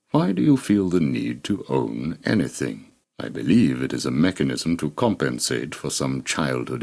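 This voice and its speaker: An actor playing a calm, manipulative psychiatrist villain in a video game, speaking in a measured, formal, condescending way with cold menace. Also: male voice, extremely low pitch, vocal fry, breathy edge, full character voice. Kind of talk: dramatic video game voice acting.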